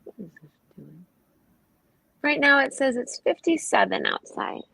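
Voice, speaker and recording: A woman's voice: high voice, huge pitch swings, measured pace, gravelly, sharp tone. A middle-aged woman with a young-sounding voice, chatting on a live stream and rambling through her stories. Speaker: panel guest. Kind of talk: casual chat on a live stream. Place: indoors at home. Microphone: computer mic on a video call.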